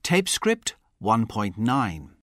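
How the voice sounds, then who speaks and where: A man narrating a language course, announcing track numbers in a formal, measured way. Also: lowish voice, huge pitch swings, fairly bright tone, dry recording, clean studio sound. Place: dry studio.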